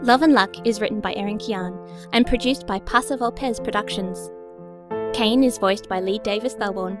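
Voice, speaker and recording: A woman's voice: medium pitch, normal speed. A woman reading scripted podcast credits as an announcer. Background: guitar music.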